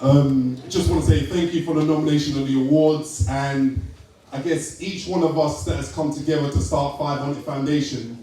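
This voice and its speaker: A man's voice: a little high, steady tone, moderate pace, dark tone. A man accepting an award on stage, earnest and hesitant, with many ums. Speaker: award recipient. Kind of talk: acceptance speech. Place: large hall at a live event. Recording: echoey hall, taken from far off.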